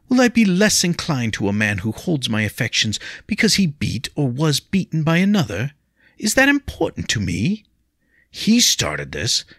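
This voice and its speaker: A man's voice: on the high side, fairly bright tone, slightly rough, dry recording, clean studio sound, pitched above the performer's own voice, full character voice. A man voicing an anxious young noblewoman who pleads urgently and desperately. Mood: impassioned.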